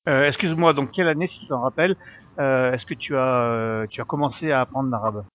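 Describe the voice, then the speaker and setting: A man hosting a podcast interview who asks his guest clear, orderly questions in a polite way. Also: medium pitch, brisk, a bit bright, lo-fi sound, slight background noise. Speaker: podcast host.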